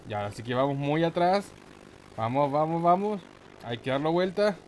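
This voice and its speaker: High-pitched Chilean man doing gameplay commentary. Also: lively intonation, normal speed, slight background noise. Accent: Chilean Spanish. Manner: playful, exclamatory, slangy. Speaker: gaming YouTuber, host. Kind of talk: casual excitable let's play commentary.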